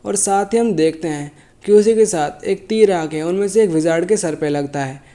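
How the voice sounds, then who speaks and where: A man's voice: high-pitched, moderate pace, mellow. A man with a sore throat casually recapping a story, often correcting and rephrasing himself as he goes. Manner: loose, self-correcting, often rephrases himself mid-sentence. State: sore throat, feeling unwell. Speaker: manhwa recap narrator.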